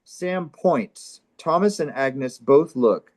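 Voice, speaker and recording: A man's voice: on the high side, expressive, takes it slowly, darkish tone. A man hosting a comedy table read, narrating stage directions and chatting casually. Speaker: comedy show host and script narrator.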